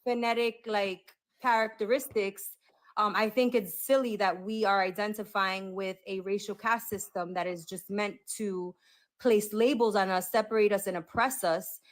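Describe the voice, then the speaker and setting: A woman in a casual group debate who jumps in with quick interjections and pads her speech with fillers like "um" and "like". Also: mid-range voice, fairly even intonation.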